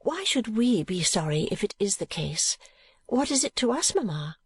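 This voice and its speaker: A woman voicing a gentle, modest young daughter in an audiobook, earnestly protesting. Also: mid-range voice, very animated, a little slow, husky, voice pushed up, exaggerated voice. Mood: gentle, reasoning. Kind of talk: audiobook character acting.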